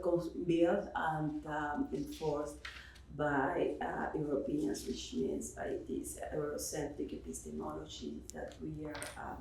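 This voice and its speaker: A woman's voice: low voice, dark tone, slight background noise. A Cuban-born woman speaking as a professor on an academic panel, hesitant with many fillers and restarts. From Cuba. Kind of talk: spontaneous academic panel remarks. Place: seminar room. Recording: roomy echo, distant mic.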